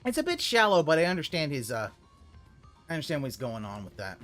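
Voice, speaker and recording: A streamer giving live reaction commentary on a video, ranting and cursing, sometimes breaking into loud yelling. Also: mid-range voice, very animated, a little fast, faint music behind.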